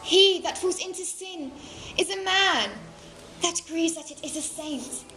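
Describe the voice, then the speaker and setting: A grave voice of judgment that proclaims warnings slowly and deliberately, performed by a woman. Her tone is high and bright, and the sound rings in a roomy space. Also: slight background noise, full character voice. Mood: solemn. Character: solemn moral voice warning of sin, adult woman. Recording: roomy echo.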